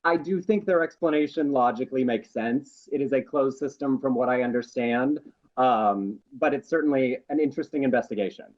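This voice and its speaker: A man's voice: medium pitch, brisk. A man giving a conversational news interview as an eyewitness. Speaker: interviewee, airline passenger. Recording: dull, muffled interview audio.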